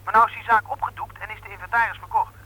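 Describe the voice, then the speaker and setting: Young wheeler-dealer, high-pitched, bright, breezy, persuasive, old radio drama. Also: male voice, fairly even intonation, very fast, slightly rough, heavily acted, rough recording. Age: young adult. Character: breezy young brother-in-law pitching a dubious deal. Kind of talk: 1960s radio drama acting. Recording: old radio play recording.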